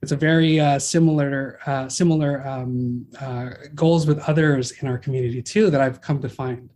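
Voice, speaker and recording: A man's voice: medium pitch, fairly even intonation, moderate pace, a little mellow, slight background noise. A man born in the Philippines, a guest in an interview, speaking casually and thoughtfully, with many fillers and restarts. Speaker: guest, brand founder.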